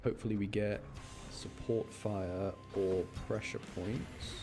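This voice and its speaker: A man's voice: lowish voice, fairly even intonation, slow pace, vocal fry, warm tone, soft background music. A man giving casual gameplay commentary, talking to himself and explaining his choices as he rambles along. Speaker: gaming content creator.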